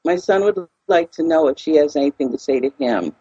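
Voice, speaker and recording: A woman at a medium's reading, answering briefly and plainly. Her voice is husky and slightly breathy. The recording is muffled and dry, with a dull lo-fi tone. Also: sits low, lively intonation, a little fast, dark tone, dry recording. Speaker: client at a reading, a mother.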